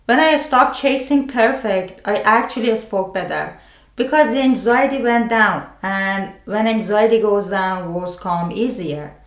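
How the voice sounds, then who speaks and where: A woman with a non-native English accent gives scripted self-help advice as a video host, speaking deliberately in short phrases with pauses. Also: medium pitch. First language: a language other than English. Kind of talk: scripted personal explainer to camera. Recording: roomy, echoing sound.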